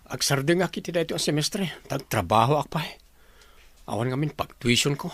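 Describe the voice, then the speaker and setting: A man's voice: a little high, animated, normal speed, a bit bright, a little hiss or hum. A man with an Ilocano Filipino accent playing a troubled countryman from a struggling family in a radio drama. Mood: resigned.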